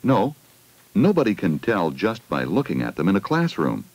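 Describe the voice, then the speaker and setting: A man's voice: low-pitched, wildly swooping pitch, normal speed, a little mellow, slightly rough, no echo. A man reading a scripted educational film narration for children, putting simple questions to them, on a 1980 film soundtrack.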